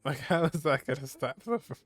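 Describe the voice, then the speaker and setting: A man's voice: on the high side, expressive, brisk. A man giving casual, offhand gameplay reactions as a streamer, sounding incredulous. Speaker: gaming streamer. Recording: faint game music behind.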